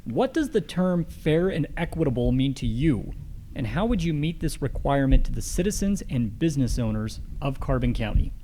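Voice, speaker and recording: A man's voice: mid-range voice, sing-song, moderate pace, mellow, a little hiss or hum, low-quality recording. A man with an American accent moderating a forum, formally putting questions and time limits to speakers.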